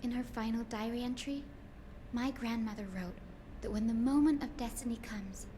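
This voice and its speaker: An actress voicing a gentle, earnest young granddaughter, a kind-hearted heroine in a dramatic fantasy game. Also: female voice, fairly high pitch, normal speed, a little hiss or hum, close to an everyday voice. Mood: earnest, soft. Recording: faint music behind the voice.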